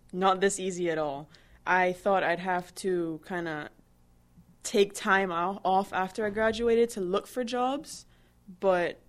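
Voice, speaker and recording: A woman in her twenties from Barbados, answering interview questions in a chatty way, with many fillers and restarts. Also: lowish voice. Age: 20s. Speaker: interviewee, new employee.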